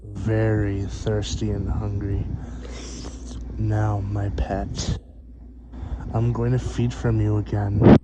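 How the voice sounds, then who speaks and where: A man playing a possessive, hungry vampire in soft, breathy ASMR roleplay, doting on his captive human with lingering, savoring pauses. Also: level tone, very noisy. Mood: hungry, teasing. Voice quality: soft, breathy low-volume voice.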